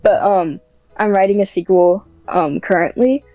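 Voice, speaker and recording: A woman's voice: medium pitch, normal speed, mellow, no echo, highs cut off. A woman podcast guest chatting casually and hesitantly, thinking aloud as she answers. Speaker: podcast guest and script writer. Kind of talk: casual interview chat.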